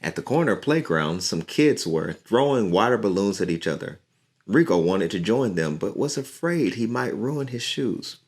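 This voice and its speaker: A deep-voiced man reads a picture book aloud for children. He speaks in an encouraging, unhurried way, guiding young listeners along. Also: expressive, breathy edge. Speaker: community leader and musician reading to children.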